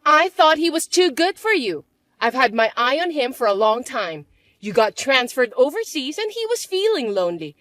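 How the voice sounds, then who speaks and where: A woman voicing a spoiled young stepsister who taunts and bosses in a shrill, mocking way. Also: sing-song, normal speed, a bit bright, no echo. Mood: taunting.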